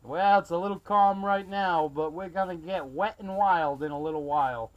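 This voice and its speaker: High-pitched man on muffled CB radio. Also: moderate pace, noisy background. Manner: friendly, uses radio call numbers and jargon. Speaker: CB radio operator. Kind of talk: casual CB radio skip chat. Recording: muffled, band-limited CB radio recording.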